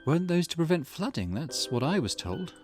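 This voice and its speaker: A man's voice: on the high side, very animated, a little fast, soft background music, pitched above the performer's own voice. A man voicing a grieving, reserved mother in a visual novel, with a restrained and somber manner. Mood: questioning. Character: grieving, reserved, bitter mother of a lost son. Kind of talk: reading visual novel dialogue aloud.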